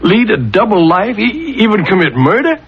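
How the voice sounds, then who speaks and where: An actor playing a troubled, defensive married man, halting and emotional, in a 1970s radio drama. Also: high voice, very animated, gravelly, slightly rough, exaggerated voice. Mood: anxious, pleading. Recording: dull lo-fi 1970s radio recording.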